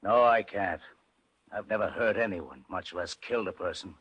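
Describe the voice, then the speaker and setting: Gruff, husky, impatient millionaire. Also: male voice, low-pitched, normal speed, no room sound, lo-fi sound. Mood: worried, defensive. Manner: blunt, impatient, forceful. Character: gruff, aggressive, aggrieved middle-aged American millionaire businessman. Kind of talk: old-time radio drama acting. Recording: vintage radio drama recording.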